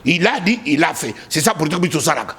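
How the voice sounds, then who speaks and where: Higher-voiced Congolese man, emphatic and insistent. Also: animated, fast, a bit bright. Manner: emphatic, repeats phrases insistently. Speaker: political commentator being interviewed. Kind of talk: heated political debate, mixing two languages.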